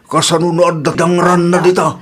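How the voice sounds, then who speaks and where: A man's voice: sits high, little pitch movement, a little fast, natural voice. A man playing a worried, cautioning village father in a radio comedy drama, fretful, with an Ilocano accent. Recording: clear noise of barking dog. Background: dog barking.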